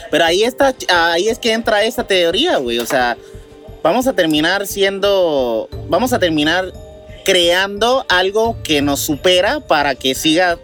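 A Mexican man with a somewhat high voice speaks as a podcast co-host in a casual debate. He reasons out loud haltingly, doubling back on words, while soft music plays underneath. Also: lively intonation.

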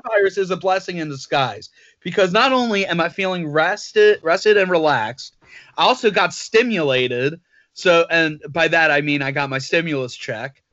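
A man's voice: a little high, lively intonation, normal speed, a bit bright, dry recording. A man co-hosting a casual podcast, rambling and joking at his own expense. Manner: joking, rambling, self-mocking. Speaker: podcast co-host. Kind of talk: casual banter. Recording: dull lo-fi sound.

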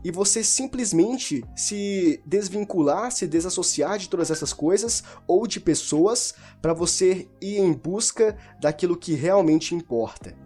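Man, slightly high mellow voice, loose rambling book talk, faint music behind. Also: lively intonation, measured pace, few pauses. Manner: informal, rambling with restarts and self-corrections. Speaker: book reviewer. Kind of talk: casual spoken book discussion.

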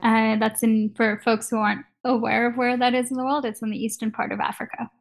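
A woman's voice: on the high side, level tone, fairly quick, gravelly. A woman in her thirties from Kenya, chatting casually as an interview guest and laughing easily.

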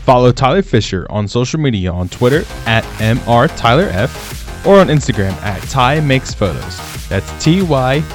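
Lively male announcer over loud music. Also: mid-range voice, normal speed. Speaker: show announcer. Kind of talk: promotional outro announcement.

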